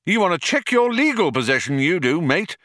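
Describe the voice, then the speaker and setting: A man's voice: high-pitched, sing-song, fairly quick, a bit bright, dry recording, clean studio sound, pitched above the performer's own voice. An older union man barging into a meeting in a comic audiobook, raised voice, belligerent and pedantic, acted out by a male reader. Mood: indignant. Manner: belligerent, indignant, working-class shop-steward talk.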